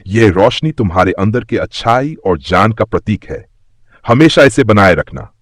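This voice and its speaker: A man voicing a kindly, wise magical tree in a children's story, speaking in a gentle, solemn, encouraging way. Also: on the low side, sing-song, moderate pace, dry recording, clean studio sound.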